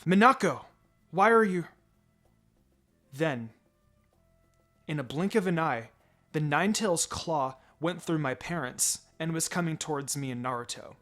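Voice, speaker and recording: Over background music, a man narrates a story in a slightly high voice. His delivery is dramatic and halting, with pauses between short phrases as he reads fan fiction in the first person. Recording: music behind the voice.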